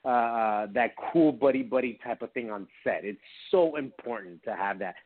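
A friendly Miami-raised man talks casually as an interview guest, often stopping and restarting his sentences. His voice sits at a middling pitch. The sound is thin and tinny, as over a phone line. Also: a little hiss or hum. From Miami, Cuban American. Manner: friendly, halting, many restarts. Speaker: actor guest. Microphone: phone call.

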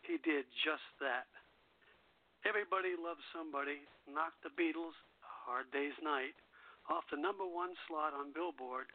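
A man hosting a radio show, talking through music history between songs. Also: fairly high pitch. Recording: narrow phone-like radio line.

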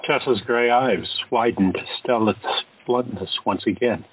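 A man narrating a scripted drama in an even, measured reading. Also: medium pitch, breathy edge, dry recording. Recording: tinny phone-like audio.

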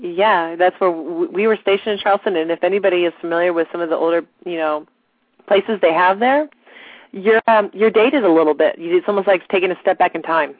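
A woman co-hosting a casual unscripted talk show, chatty, her voice raspy from allergies and a slight cold. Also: fairly low pitch, brisk, no echo. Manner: chatty, informal. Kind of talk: unscripted casual radio chat. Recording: tinny phone-line internet radio audio.

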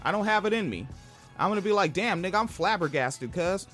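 High voiced dude from washington state, podcast cohost, swears a lot, pitch jumps all over. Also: male voice, a little fast, soft background music. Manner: profane, animated, laughs often. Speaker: podcast co-host. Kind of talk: casual podcast chat.

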